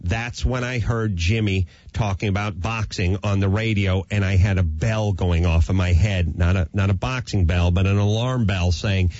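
A middle-aged man hosting a podcast, telling his own story in an animated, self-deprecating way. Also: low-pitched, normal speed, few pauses, no echo. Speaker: podcast host, comedian. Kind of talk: casual podcast chat. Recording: slightly dull, dry podcast audio.

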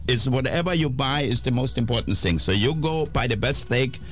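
A male chef guest speaks plainly and a bit haltingly, with fillers between thoughts. His pitch sits in a middle range. Faint music plays underneath. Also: animated. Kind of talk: casual chat.

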